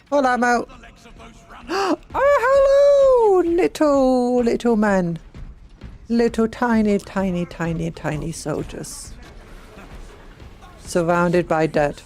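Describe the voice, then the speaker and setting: A chatty British woman commentates her game in a playful, excitable way. Game music plays faintly behind her voice. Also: on the high side, takes it slowly, pauses often. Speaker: streamer. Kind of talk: casual gameplay commentary.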